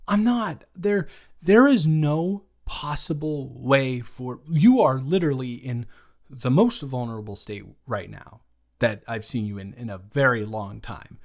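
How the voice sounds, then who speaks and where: A man performing an improvised roleplay audio, speaking slowly and carefully with a soft, reassuring manner. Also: medium pitch, animated. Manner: slow, careful, measured speech with pauses.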